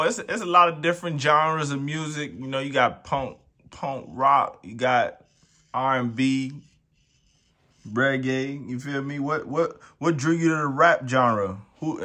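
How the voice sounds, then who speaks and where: A man's voice: medium pitch, a little slow, sharp tone. A young man hosting a casual interview, easygoing and slangy, calling his guest "man". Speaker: interview host.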